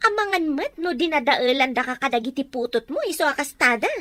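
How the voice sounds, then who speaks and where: A woman's voice: high voice, expressive, a little fast, fairly bright tone, no room sound. A woman playing an anxious, practical wife with a nagging, concerned manner in an Ilocano radio drama.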